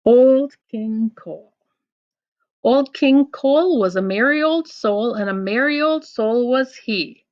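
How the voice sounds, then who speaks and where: A woman reading rhymes aloud to children like a teacher, in an expressive, deliberate, sing-song storytelling delivery, with dull lo-fi sound. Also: fairly high pitch.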